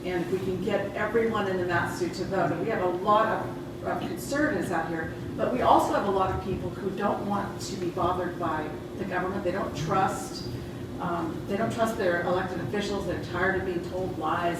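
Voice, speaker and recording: Soft-spoken woman, lowish voice, addressing a meeting from far off the mic in an echoey, noisy room. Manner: earnest, many restarts and fillers. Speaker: event speaker. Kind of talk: political talk to a live audience. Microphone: distant room mic.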